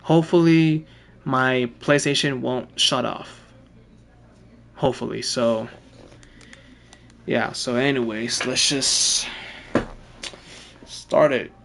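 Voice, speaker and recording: A man's voice: mid-range voice, steady tone, slow pace, gravelly, long pauses, a bit bright, slight background noise. A man livestreaming a game with casual, rambling, repetitive commentary. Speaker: game streamer.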